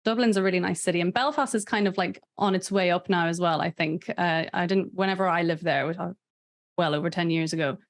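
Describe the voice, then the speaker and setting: A woman's voice: fairly low pitch, fast talker. A young woman with a Northern Irish accent, co-hosting a casual podcast, chatty with many "like" fillers. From England and Northern Ireland. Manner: chatty, laughs often, "like" fillers. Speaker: podcast co-host.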